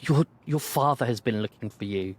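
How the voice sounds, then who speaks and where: A man's voice: moderate pace, close to an everyday voice. A man voicing a frantic, fussy uncle who stammers with worry. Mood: anxious. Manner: flustered, stammering, high strained voice.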